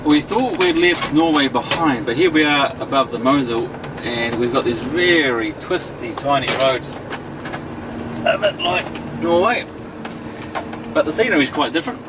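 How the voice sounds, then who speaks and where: A man's voice: fairly high pitch, animated, normal speed. A middle-aged man with a New Zealand accent, giving casual travel narration with dry, wry understatement. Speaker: travel vlogger. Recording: loud vehicle and outdoor noise.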